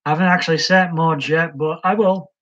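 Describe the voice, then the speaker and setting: A man's voice: on the high side, fairly even intonation, fairly quick, fairly bright tone. A man hosting a casual live stream, chatting hesitantly with lots of ums as he answers viewers' comments. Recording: dull lo-fi stream audio.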